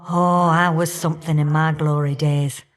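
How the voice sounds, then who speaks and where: A woman's voice: low-pitched, level tone, moderate pace, natural voice. Woman doing a fiery older goddess for a game, cheeky and folksy, teases you fondly. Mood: wistful, teasing. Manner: folksy, teasing, affectionate endearments and colloquial turns of phrase. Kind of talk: video game character voice acting.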